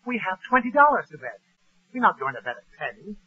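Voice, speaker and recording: An exasperated, henpecked father with a high voice that swoops with indignation. He sputters through old radio comedy on a muffled, faintly noisy recording. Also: sing-song, fast, breathy edge, heavily acted. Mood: flustered. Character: flustered, indignant, henpecked father of a bratty girl. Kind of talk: 1940s-50s radio comedy acting.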